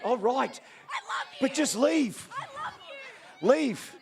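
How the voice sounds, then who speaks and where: A man's voice: very high voice, expressive, extremely slow, gravelly. An Australian man acting as MC at an outdoor event, handing out prizes with playful, teasing crowd banter.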